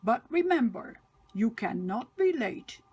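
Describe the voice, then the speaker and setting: A woman's voice: fairly high pitch, very animated, unhurried, darkish tone, slightly rough, full character voice. A woman voicing a stern but kindly male railway boss in a storybook, giving firm, emphatic instructions. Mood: stern, urging.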